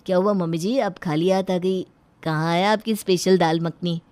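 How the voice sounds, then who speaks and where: A woman's voice: on the low side, steady tone, moderate pace, darkish tone, no echo, lightly acted. A woman voicing a teasing, expectant young dinner guest in a cartoon story.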